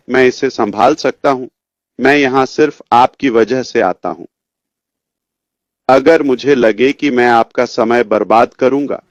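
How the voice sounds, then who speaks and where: A man's voice: medium pitch, no room sound. A man in his fifties giving an informal motivational update as a company leader, rambling and addressing his listeners directly. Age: 50s. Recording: dull lo-fi audio, dry.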